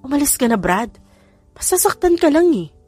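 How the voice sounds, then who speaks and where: A woman's voice: sits high, very animated, moderate pace, dark tone, soft background music, pitched above the performer's own voice. A woman voicing a firm, guarded young woman in a romance audiobook. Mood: firm, pained.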